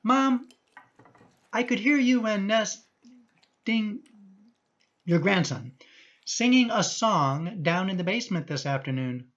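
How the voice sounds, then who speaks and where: An actor playing a mild, reasonable middle-aged father in a radio play, measured and slightly formal, in a dull recording. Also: sits high, animated, fairly bright tone, exaggerated voice. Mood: fatherly. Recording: dull, muffled sound.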